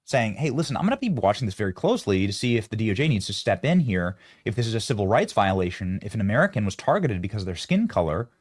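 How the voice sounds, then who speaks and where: Animated male talk-show host. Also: on the low side, fast, hardly any pauses. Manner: animated, rising incredulous questions.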